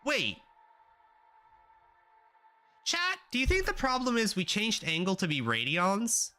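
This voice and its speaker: A young man streaming himself coding, chatting casually with viewers, swearing and getting excited as he works. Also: high voice, takes it slowly. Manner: excitable, swearing, talks to chat while coding. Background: faint music.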